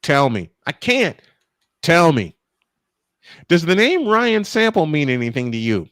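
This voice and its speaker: Animated higher-voiced male sportscaster. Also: no echo, studio quality. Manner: animated, emphatic storyteller with drawn-out dramatic phrasing. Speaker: play-by-play sportscaster. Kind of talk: live baseball commentary mixed with animated storytelling.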